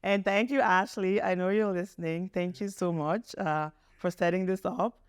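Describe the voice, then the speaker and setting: A woman's voice: lowish voice, normal speed, clean tone, no room sound, studio quality. A woman hosting an interview podcast, introducing and addressing people in an enthusiastic, effusive way. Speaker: podcast host.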